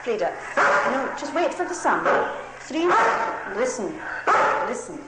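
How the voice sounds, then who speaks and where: A woman giving an unscripted live demonstration, fussy and excitable, often repeating herself. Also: high voice, a little slow. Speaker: dog owner demonstrating a trick. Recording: echoey, very noisy radio location recording.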